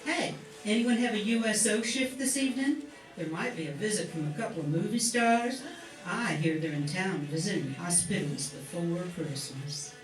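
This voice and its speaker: A woman's voice: lowish voice, normal speed, lightly acted. A woman playing a sociable, chatty wartime factory worker in a 1940s-set radio play. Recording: echoey room, distant mic, music behind.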